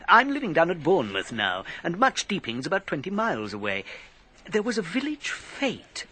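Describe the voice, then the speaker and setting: A British actor playing an eager, precise, talkative chemist in a radio drama, with an earnest, fussy manner. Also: male voice, fairly high pitch, huge pitch swings, normal speed, sharp tone, husky, full character voice.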